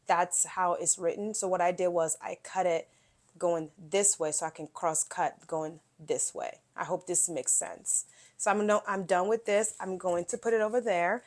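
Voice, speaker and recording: A middle-aged woman, a touch nasal and sniffly from a cold, walks viewers through a quilting project step by step. Her voice sits a little low for a woman. She talks in a relaxed, chatty way, straight to the camera. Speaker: quilting video host. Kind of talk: casual step-by-step sewing tutorial.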